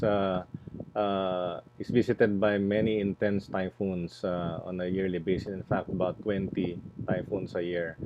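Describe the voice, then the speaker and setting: A man speaking as an NGO panelist, deliberate and halting, often repeating words as he searches for phrasing. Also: low-pitched. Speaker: environmental NGO executive, panel presenter. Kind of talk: spontaneous panel presentation. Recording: muffled, noisy online webinar audio.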